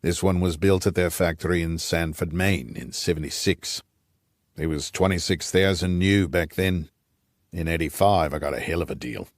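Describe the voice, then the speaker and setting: A man voicing an aging, gruff Rhodesian patriarch, a wry and nostalgic old scout, in an audiobook. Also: sits low, normal speed, no room sound, studio quality, lightly acted. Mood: wry reminiscing. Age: older.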